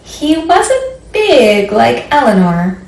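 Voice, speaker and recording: A woman with a fairly high voice hosts a storytime for small children. She talks in simple, encouraging phrases like a children's librarian, in an echoey room with faint noise. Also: big pitch swings, takes it slowly, gravelly, distant mic. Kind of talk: children's storytime singing and read-aloud. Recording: roomy echo, faint background noise.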